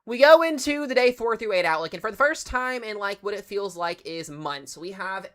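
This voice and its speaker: A man hosting a casual live weather briefing, sounding congested from strep throat, chatty and excitable with plenty of fillers. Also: high voice, normal speed. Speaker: weather livestream host.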